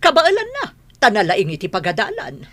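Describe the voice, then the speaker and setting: Woman acting a proud mother, bright forceful voice. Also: mid-range voice, wildly swooping pitch, brisk. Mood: insistent, proud. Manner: insistent, defensive. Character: proud, insistent mother, employer of the household. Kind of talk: Filipino radio drama acting.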